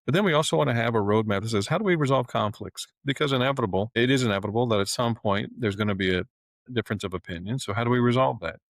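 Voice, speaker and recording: A man giving an explanatory business talk as an advisor, speaking in a measured, articulate way. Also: mid-range voice, no echo. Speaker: family office advisor.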